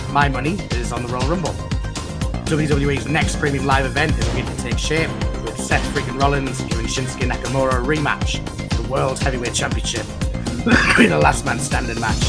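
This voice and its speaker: A man hosting a wrestling podcast, his voice croaky from being unwell, rambling and restarting. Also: mid-range voice. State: croaky voice from recent illness. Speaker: podcast host. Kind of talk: casual podcast chat and news reading.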